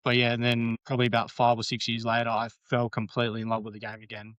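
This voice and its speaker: Man, late thirties, Australian accent, even monotone delivery, rambling casual chat. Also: medium pitch, fast talker, smooth, dry recording, dull audio. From Western Australia. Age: 30s. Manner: rambling, frequent ums and restarts. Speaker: guest.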